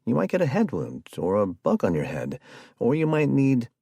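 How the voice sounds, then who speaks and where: A man voicing an excitable, geeky young man who rambles in a high, theatrical voice. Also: moderate pace, creaky, husky, no room sound, studio quality, exaggerated voice. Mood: rambling.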